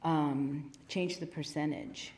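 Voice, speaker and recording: A woman chairs a city committee meeting, calling on speakers and moving things along briskly. Her voice sits a little low, over a faint hiss. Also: fairly even intonation. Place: city council chamber.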